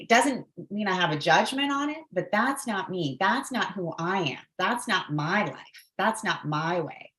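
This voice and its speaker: A woman's voice: deep voice, a bit bright. A woman from Bangladesh speaking reflectively on a panel, with many "you know" fillers. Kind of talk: casual panel discussion.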